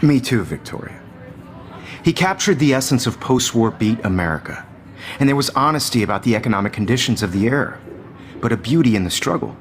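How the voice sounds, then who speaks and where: Game role: self-assured teacher male actor, animated intonation, glib classroom lecturing, slight background noise. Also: mid-range voice, brisk, lightly acted. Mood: provocative, assured.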